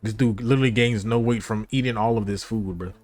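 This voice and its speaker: A man's voice: on the low side, steady tone, quick pace, darkish tone. An American man streaming a casual, slangy reaction to a game story, with a slightly stuffy nose. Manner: slangy, informal, hyped asides. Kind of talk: casual livestream reaction commentary. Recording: game music behind the voice.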